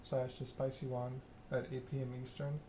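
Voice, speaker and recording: A soft-spoken male game streamer talking in an ASMR style, measured and a little halting. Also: mid-range voice, completely flat delivery, background noise.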